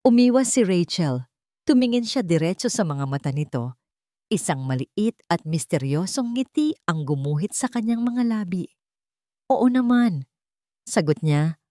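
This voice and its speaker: A woman narrates a scripted drama as a storyteller. Her voice is low for a woman, and the recording is dry with hardly any room sound. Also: expressive, moderate pace, mellow, no echo.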